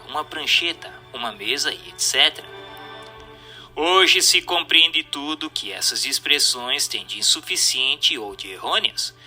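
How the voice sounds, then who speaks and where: A Brazilian man narrates a formal text in a very bright, forceful voice, pitched a little high. His delivery is didactic and emphatic, with lively swings in intonation, and faint music plays behind him. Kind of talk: scripted narration, reading a book aloud.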